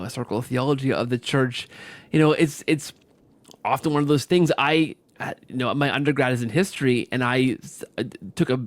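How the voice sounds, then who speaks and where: A man's voice: medium pitch, no room sound. A man hosting a conversational podcast interview, effusive and wordy, often rephrasing himself mid-thought. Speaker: podcast host.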